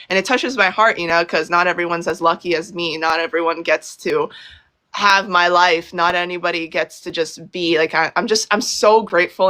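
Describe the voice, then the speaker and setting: A woman's voice: fairly low pitch, sharp tone. A young woman from Brazil, a guest in a casual interview, talking effusively with many fillers and restarts.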